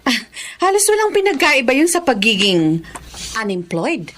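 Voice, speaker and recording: Woman voicing a sarcastic older sister, high, sing-song, mocking. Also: very animated, normal speed, fairly bright tone, a little hiss or hum. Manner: sarcastic, taunting.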